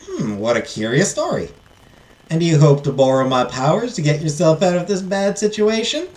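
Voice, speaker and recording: A grand, benevolent fairy godmother with a Southern US drawl, acted out by a woman reading a story. The delivery is formal and theatrical, with big swings in pitch. The sound is roomy. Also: sing-song, moderate pace, a little mellow. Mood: curious, welcoming. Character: fairy godmother, benevolent, grand, teasing supernatural female spirit. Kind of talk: storybook character voice.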